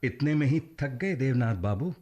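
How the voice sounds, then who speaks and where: An actor in a radio play playing an earnest idealist with a persuasive, declamatory delivery. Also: male voice, medium pitch, animated, normal speed, warm tone, lightly acted. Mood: urging. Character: earnest idealist urging a friend to resist injustice. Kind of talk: Hindi radio play acting.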